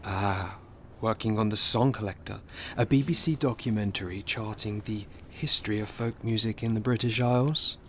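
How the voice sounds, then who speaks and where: A man's voice: on the low side, steady tone, moderate pace, hardly any pauses, fairly bright tone, slight background noise, natural voice. An actor playing a forthright, teasing theatre man who prompts and narrates in a radio drama. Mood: arch, prompting.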